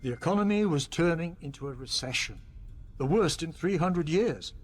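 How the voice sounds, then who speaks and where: A man playing a formal, defensive company boss in a radio drama, his voice fairly high. He justifies himself in measured phrases, with faint noise behind. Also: expressive, lightly acted. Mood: grave, justifying. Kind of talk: radio play monologue acting.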